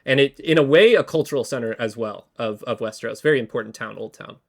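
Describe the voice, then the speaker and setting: A man co-hosting a podcast, slightly hoarse from a cold, talking casually with lots of fillers and restarts. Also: mid-range voice, fast talker. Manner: rambling, many fillers and restarts. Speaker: podcast co-host. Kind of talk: casual history chat.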